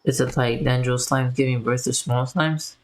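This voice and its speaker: A woman's voice: extremely low pitch, fairly even intonation, normal speed, poor audio. A woman streamer giving casual game commentary in short, offhand remarks.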